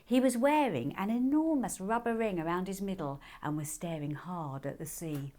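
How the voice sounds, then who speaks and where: A woman reading her own children's book as an expressive storybook narrator. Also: lowish voice, normal speed.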